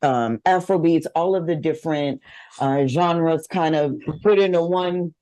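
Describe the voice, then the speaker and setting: A woman's voice: low voice, a little slow, dark tone, no room sound, low-quality recording. A woman hosting a TV show, asking friendly, upbeat interview questions with some fillers. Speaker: TV show host.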